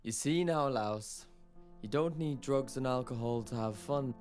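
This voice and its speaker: A man giving slow, reflective advice as a spoken intro on a music track. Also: mid-range voice, a little mellow, smooth. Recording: faint guitar music underneath.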